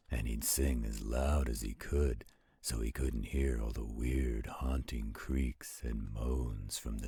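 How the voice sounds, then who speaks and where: A man voicing a gentle, protective werewolf in a roleplay audio, reading aloud in a slow, soothing, husky, gravelly voice. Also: very deep voice, full character voice.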